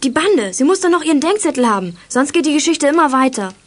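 A woman's voice: high voice, very animated, fast talker. A female performer playing a spirited, chatty girl detective in a children's radio play. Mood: determined. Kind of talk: 1980s German children's radio play acting.

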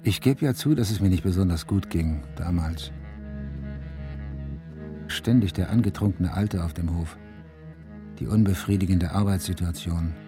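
A man's voice: sits low, normal speed, pauses often, warm tone, faint music behind, close to an everyday voice. A man playing a weary, curt police detective from the countryside in a radio drama, dry and sardonic. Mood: brooding. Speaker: lead character and narrator. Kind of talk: radio drama acting and narration.

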